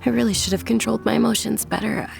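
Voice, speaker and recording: A gentle, higher-voiced young noblewoman. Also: fairly even intonation, fast, creaky, over music. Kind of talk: video game voice acting.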